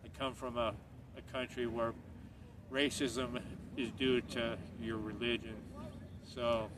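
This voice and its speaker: Middle-aged man from Northern Ireland, rambling vlogger, monotone, recorded from off-mic outdoors. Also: on the low side. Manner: earnest, rambling, repeats himself. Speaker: channel host. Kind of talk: casual monologue to camera. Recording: distant mic, street noise.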